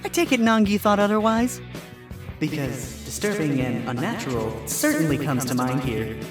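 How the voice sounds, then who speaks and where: Man playing a lively, sarcastic fox lawyer. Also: fairly high pitch, moderate pace, music in the background. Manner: sarcastic, wisecracking. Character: wry, sarcastic male fox defense attorney.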